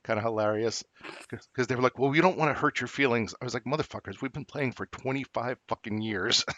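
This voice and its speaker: A middle-aged man co-hosting a podcast in casual chat, rambling with many halting restarts and fillers. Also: medium pitch, pushed and bright, dry recording. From New Jersey. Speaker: podcast co-host. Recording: dull lo-fi dry recording.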